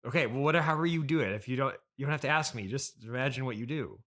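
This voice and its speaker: A man's voice: mid-range voice, quick pace, fairly bright tone. Hesitant male comedy podcast host. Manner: hesitant, stammering, placating. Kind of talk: improvised comedy interview.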